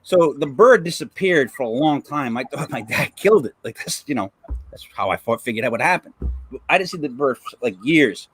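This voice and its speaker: A man's voice: medium pitch, animated, brisk, husky, dry recording, poor audio. A man co-hosting a podcast, chatting casually and telling stories with lots of fillers. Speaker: podcast co-host. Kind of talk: casual chat.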